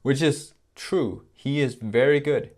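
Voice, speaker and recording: A man's voice: mid-range voice, slow, darkish tone. A young man from Denmark, speaking English, talks candidly and with self-deprecating humor to camera in a scripted vlog. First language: Danish. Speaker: YouTuber.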